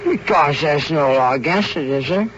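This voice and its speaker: A drunk man from Arkansas with a somewhat high male voice talks haltingly and repeats himself as he answers police. The sound is a dull old field recording with clear vehicle and radio noise. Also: animated, a bit bright. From Arkansas, United States. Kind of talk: spontaneous answers to police questioning.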